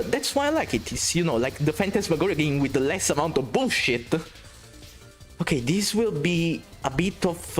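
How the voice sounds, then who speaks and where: Excitable Italian-accented male game streamer. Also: medium pitch. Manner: excitable, swearing, reading chat aloud. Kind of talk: casual gameplay commentary.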